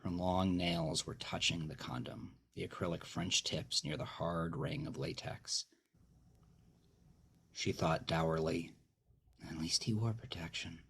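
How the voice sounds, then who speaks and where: A man's voice: sits low, steady tone, slow pace, gravelly, fairly bright tone, slight background noise. A man narrating scripted dramatic fiction as a storyteller. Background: plucked guitar music.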